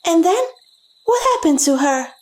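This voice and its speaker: A woman's voice: very high-pitched, very animated, moderate pace, a little mellow. A woman voicing an anxious young daughter in a fantasy audiobook, with a pleading, questioning manner. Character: anxious young daughter of a mage-gifted family.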